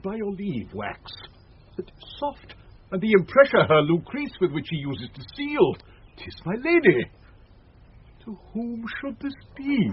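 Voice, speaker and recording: An actor playing a pompous, self-loving steward lost in grand daydreams, savouring each word in a vintage radio Shakespeare comedy. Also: male voice, high voice, wildly swooping pitch, unhurried, slightly rough, exaggerated voice. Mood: excited, puzzling. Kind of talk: 1970s radio Shakespeare acting. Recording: dull vintage radio recording with faint hiss.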